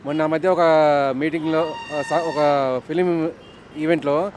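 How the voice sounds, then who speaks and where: A man's voice: a little high, steady tone, a little slow, a little mellow. A man explaining his opinion in a street interview as a member of the public. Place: outdoors in an urban street. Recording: faint outdoor traffic noise.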